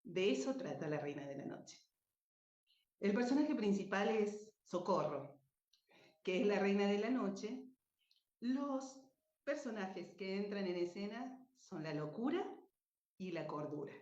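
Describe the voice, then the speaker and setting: A woman presenting and narrating her radio drama in an expressive, deliberate way. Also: medium pitch. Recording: echoey room, dull muffled sound.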